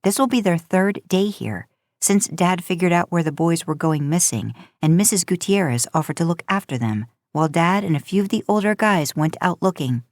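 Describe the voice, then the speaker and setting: A woman's voice: fairly low pitch, lively intonation, normal speed, darkish tone, no echo, studio quality. A woman narrating a written story in the manner of an audiobook, reading from a script. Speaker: podcast host and fan fiction narrator.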